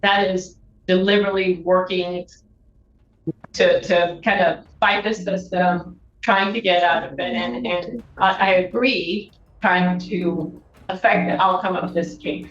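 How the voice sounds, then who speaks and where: A woman arguing in court as a prosecutor, speaking in a halting way. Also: lowish voice, fairly even intonation, fairly bright tone, a little hiss or hum. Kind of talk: courtroom argument. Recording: echoey, muffled courtroom audio.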